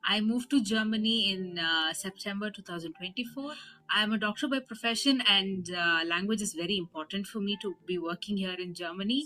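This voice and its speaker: A woman's voice: mid-range voice, bright, forceful tone, low-quality recording. A young woman from India with an Indian accent, a doctor giving an earnest personal testimony with frequent fillers. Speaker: doctor, project participant.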